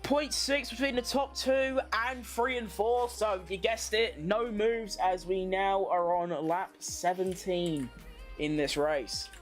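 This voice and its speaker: A woman with a mid-range voice commentates live on motorsport as a watchalong host. She is informal and slangy, thinking out loud as she follows the action. Faint music plays behind her. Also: medium pitch, steady tone, takes it slowly.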